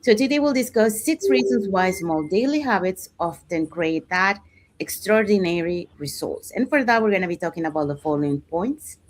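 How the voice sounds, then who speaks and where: A woman's voice: fairly low pitch, lively intonation, normal speed, a little hiss or hum. A woman hosting a live online talk, speaking casually with frequent fillers. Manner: casual, with many fillers like "you know" and "so". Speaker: host.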